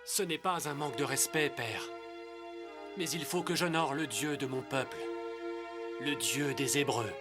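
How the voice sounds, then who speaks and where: An actor playing an earnest, humane young Hebrew prince with a resolute manner in a dubbed animated film. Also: male voice, mid-range voice, measured pace, pauses often, over loud music, close to an everyday voice. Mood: firm.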